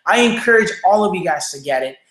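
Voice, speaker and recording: Energetic man with a Mexican accent, echoey room. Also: high-pitched. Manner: energetic, repetitive, fast-talking, asks "right?" and "okay?" after each point. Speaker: livestream show host. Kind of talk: motivational livestream talk. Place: home room without studio lighting.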